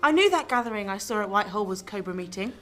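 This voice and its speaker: A woman's voice: mid-range voice, expressive, quick pace, a bit bright, close to an everyday voice. A woman acting in a radio drama as a wary but eager journalist, full of questions. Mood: wary, intrigued. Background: music.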